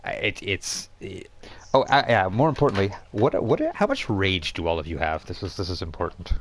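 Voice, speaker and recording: A man hosting and narrating a tabletop role-playing game as game master, explaining things in an informal, halting way. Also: sits low. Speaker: game master and stream host.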